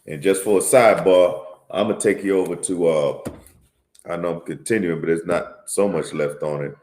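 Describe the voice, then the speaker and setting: A deep-voiced man gives an informal Bible teaching, circling back over his points and ending phrases with "man." When he reads scripture, his voice climbs higher and louder and slows right down. The room around him is echoey. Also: a little hiss or hum. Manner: tags lines with "man", repeats himself; reads scripture aloud in a raised, louder, slower voice. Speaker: religious teacher.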